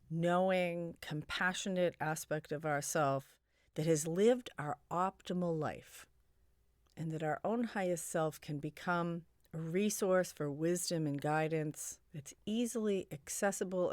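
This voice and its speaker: A woman leading a guided meditation in a soothing, gently suggestive way, her phrases broken by long pauses. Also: fairly low pitch, no room sound, clean studio sound.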